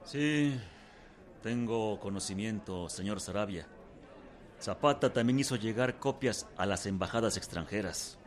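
An actor playing a disdainful, authoritative Mexican president in a radio drama, measured and formal. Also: male voice, lowish voice, lightly acted.

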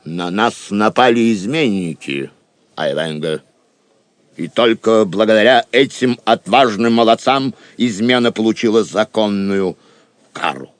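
Audio drama king: steadfast, noble, stately, slow delivery in a dry close recording. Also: lowish voice, expressive, dry recording. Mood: solemn, reassuring. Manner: stately, commanding. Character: Richard the Lionheart, hidden king, noble and steadfast. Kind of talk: Russian audio drama acting.

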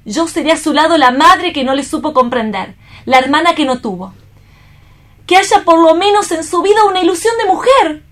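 An actress playing an anguished, remorseful young woman in a radio theatre reading, earnest and melodramatic, with deliberate phrasing. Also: high voice, lively intonation, a bit bright. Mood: resolute, remorseful.

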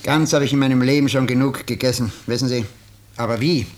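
Man acting a smooth, insinuating ex-convict houseguest. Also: mid-range voice, quick pace, natural voice. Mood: reminiscing, sly. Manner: mock-polite, wheedling. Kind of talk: 1950s German radio drama acting. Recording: slightly noisy 1950s radio recording.

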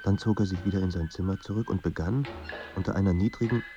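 A man performing as a somber narrator of a troubled writer's life in a radio drama, with measured, grave delivery. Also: low-pitched, highs cut off. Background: music.

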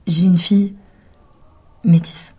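A woman's voice: fairly low pitch, level tone, slow pace, dark tone, breathy edge. An actress playing a defensive night nurse who answers her doctor's questions with curt, guarded replies in a radio drama. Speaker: patient.

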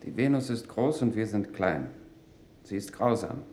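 A grim male planetary settler in an old radio play. His voice is mellow and fairly low, his speech stark and terse. It sounds in an echoey room. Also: animated, unhurried. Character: grim, plain-spoken male Venus settler. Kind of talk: 1960s radio drama.

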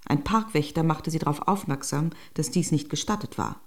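An actress playing a daughter-in-law who fondly recounts family memories in a composed, anecdotal radio drama monologue. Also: female voice, low-pitched, moderate pace.

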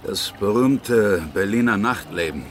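A man's voice: fairly low pitch, measured pace, lightly acted. An actor playing a blunt, contemptuous man in a German radio drama, with a dry way of talking. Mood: wry.

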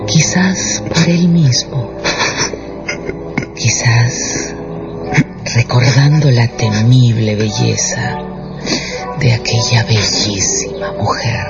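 A man's voice: medium pitch, steady tone, very slow, vocal fry, pauses often. A man narrating a radio drama as a scripted, dramatic storyteller. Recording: dull radio production with music bed.

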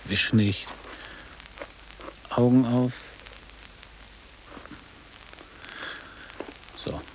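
An actor playing a middle-aged former boarding school pupil in a radio drama, blunt and reminiscing in measured, literary phrases. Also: male voice, mid-range voice, steady tone, a little hiss or hum, close to an everyday voice, low-quality recording. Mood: probing, blunt.

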